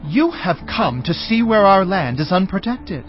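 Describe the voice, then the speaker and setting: Stern male governor, accusatory, raised lively pitch, Bible audio drama, music behind dull sound. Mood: harsh, accusing. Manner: harsh, commanding, deliberate.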